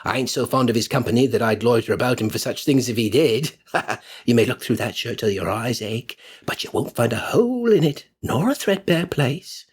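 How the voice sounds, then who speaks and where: A man's voice: mid-range voice, lively intonation, moderate pace, breathy edge. A man voicing a coarse, scornful, boastful old woman who gloats, in an audiobook story. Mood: brazen, scornful. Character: coarse, brazen, gloating old charwoman, cackling. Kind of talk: audiobook character acting.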